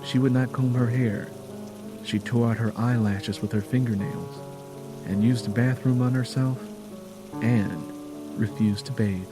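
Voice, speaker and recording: A man narrating a scripted story dramatically, in a solemn and deliberate manner. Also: medium pitch, fairly even intonation, over music.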